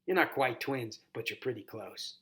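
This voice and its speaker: A quick-witted boy companion from a storybook, voiced by a man. He is thoughtful and a little skeptical, reasoning aloud. His intonation is very varied. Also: mid-range voice, expressive, a bit bright, close to an everyday voice. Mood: realizing.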